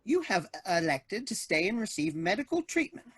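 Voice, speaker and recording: A woman's voice: mid-range voice, big pitch swings, normal speed, background noise, close to an everyday voice. A woman playing an officious, blithely ominous AI robot assistant in a staged comedy reading, speaking in formal automated announcements. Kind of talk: staged reading of a comic play.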